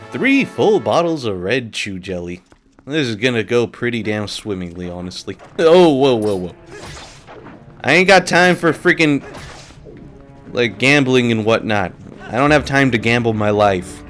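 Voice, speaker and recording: A man's voice: lowish voice, fairly bright tone. A man hosting a let's play, talking casually and rambling while sick and a little nasal. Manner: casual, rambling, laughs often. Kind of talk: gameplay commentary.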